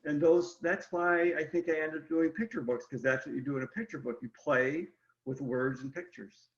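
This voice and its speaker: Higher-pitched man chatting in an echoey room. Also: steady tone, moderate pace. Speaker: picture book illustrator, guest. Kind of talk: casual explanatory chat about art. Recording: roomy echo, slightly dull lo-fi sound.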